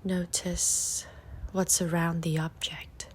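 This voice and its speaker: A woman leading a guided meditation, giving soft, breathy, gentle instructions with long pauses between short phrases. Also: fairly low pitch, level tone, in a whisper. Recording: faint outdoor nature ambience. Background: faint nature ambience.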